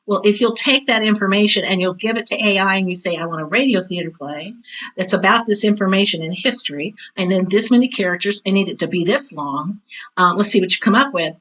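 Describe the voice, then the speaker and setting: A woman's voice: medium pitch, brisk, a bit bright, rough recording. A woman in her sixties speaking as an interview guest, a chatty, emphatic teacher who tells anecdotes and acts out quotes. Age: 60s. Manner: chatty, emphatic, often quotes herself and others. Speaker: guest, veteran theater teacher and author. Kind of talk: animated conversational interview, anecdotes.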